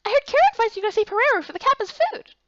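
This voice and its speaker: A tiny, eager game sidekick. Her voice is pitched very high and bounces around, in a dull, dry recording. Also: expressive, very fast. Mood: chirpy, helpful. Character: small, breathless, eager female companion with short legs.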